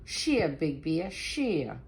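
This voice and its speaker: Storybook talking tree, female reader: old, wise, scolding, slow sing-song delivery with big pitch swings. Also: sing-song, a little mellow, full character voice. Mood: solemn, insistent.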